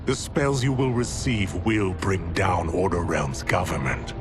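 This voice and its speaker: An actor playing a cold, commanding sorcerer villain in a video game, speaking formally and imperiously. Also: male voice, deep voice, unhurried, over music. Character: sinister male sorcerer, cold, commanding and menacing.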